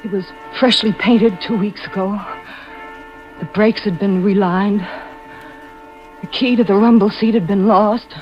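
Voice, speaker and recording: A woman's voice: medium pitch, fairly even intonation, a little slow, pauses often, lightly acted. A woman playing an anxious, devoted wife turned amateur sleuth in old-time radio suspense, with breathless, melodramatic urgency. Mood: tense, fearful. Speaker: actress narrating and playing the lead. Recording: muffled vintage radio recording with music.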